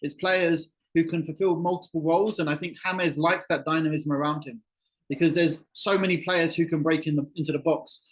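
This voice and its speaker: Middle-aged man from England, fairly high voice. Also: fairly quick, rough recording. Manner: relaxed, thoughtful, restarts phrases. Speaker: guest football journalist. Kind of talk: podcast interview answers. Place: Colombia, remote call.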